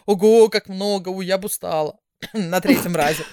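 A chatty woman co-hosting a podcast, her talk lively and quick to laugh. Her voice sits in a middle register and is recorded very dry and close. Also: medium pitch, no echo. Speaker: podcast co-host. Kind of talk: casual chat.

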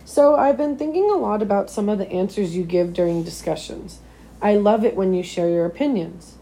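A slightly hoarse woman voicing a kind, encouraging male schoolteacher from a children's storybook, with gentle coaxing questions. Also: medium pitch, expressive, moderate pace, close to an everyday voice, low-quality recording. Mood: admiring.

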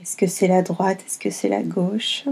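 Breathy, creaky-voiced woman guiding slow meditation. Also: lowish voice, level tone. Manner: gentle, unhurried, with long pauses between short phrases. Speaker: yoga nidra guide. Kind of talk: guided meditation, slow and soothing instruction.

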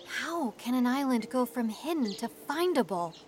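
A woman's voice: fairly high pitch, lively intonation, normal speed, natural voice. A woman playing a practical, sceptical researcher in an audio drama, questioning and urgent. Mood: puzzled, sceptical. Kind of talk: audio drama dialogue acting.

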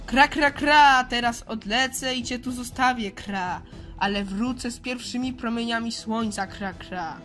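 A woman's voice: a little high, unhurried, fairly bright tone, faint music behind. A woman voicing a menacing male crow-man monster who caws between phrases in a children's audio play. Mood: threatening. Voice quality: squawking, cawing. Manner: punctuates speech with crow caws.

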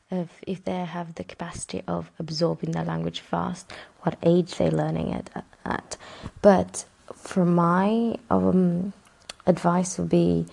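Woman, 30s, gravelly fry, easygoing interview guest who rambles with fillers. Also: lowish voice. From Romania. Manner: relaxed, rambling, with fillers and small laughs. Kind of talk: casual interview answers.

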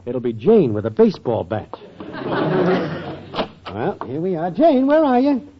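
An actor playing a cocky, glib small-time schemer boyfriend in a 1940s radio comedy. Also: male voice, fairly high pitch, big pitch swings, slow. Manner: glib, self-important, clipped phrasing. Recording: muffled noisy old radio recording.

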